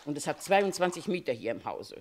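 Female concierge, radio whodunit, lowish voice, very animated intonation, gossipy, chatty, opinionated. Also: brisk, slight background noise. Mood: chatty, confiding. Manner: chatty, confiding, opinionated storytelling. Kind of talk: German radio crime play acting.

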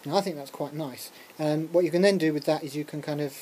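A lecturer giving an informal software tutorial, talking through a demonstration hesitantly with muttered asides and interruptions to themselves. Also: medium pitch, fast, soft background music. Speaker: music technology lecturer. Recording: clearly noisy recording with room ambience.

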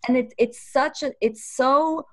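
A woman's voice: high-pitched, measured pace, fairly bright tone, dry recording. A woman from Brazil, a life coach, speaking as a podcast guest in an earnest, emphatic way with bursts of intensity. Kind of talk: casual podcast conversation.

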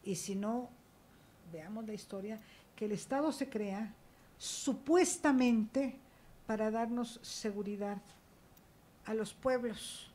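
A woman's voice: fairly high pitch, takes it slowly, pauses often, dark tone, poor audio. A woman panelist speaking emphatically, checking in with rhetorical questions. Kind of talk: academic panel talk. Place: university auditorium panel.

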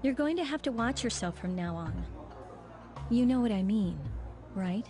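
An actress playing a stern, nagging girlfriend, pointed and matter-of-fact, in an animated game cutscene. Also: a little high, moderate pace, natural voice. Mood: scolding. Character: stern, nagging long-time girlfriend, composed and controlling. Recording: loud music under the dialogue.

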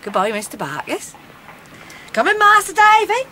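A woman's voice: very high voice, very animated, moderate pace, background noise. An actress playing a devoted, plain-spoken motherly servant with a rural English accent, in a radio drama. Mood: jolly, bustling.

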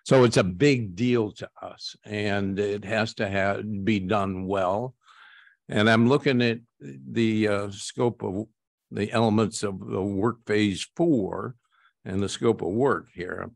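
An American man speaking haltingly as a board director at a formal public meeting. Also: lowish voice, gravelly, no room sound, clean studio sound. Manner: halting, hesitant delivery.

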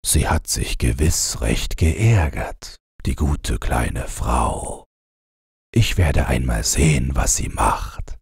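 A grumpy yet loving storybook king, acted by a male narrator, with an extremely low voice. He speaks slowly, in a very raspy tone. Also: very animated, no room sound, clean studio sound, exaggerated voice. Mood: fond. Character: grumpy but affectionate fairy-tale king, adult husband. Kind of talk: storybook character voice.

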